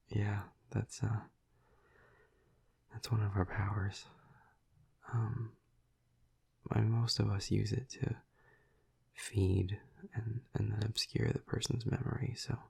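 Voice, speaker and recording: A flirty, protective male vampire, acted by a man, murmurs intimately to the listener in an audio roleplay. His low voice is very husky and breathy, thick with gravelly fry. He talks slowly and softly, with a hesitant, soothing manner. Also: little pitch movement, exaggerated voice. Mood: gentle, explaining. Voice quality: breathy, gravelly half-whisper. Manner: slow, hesitant, soft, soothing.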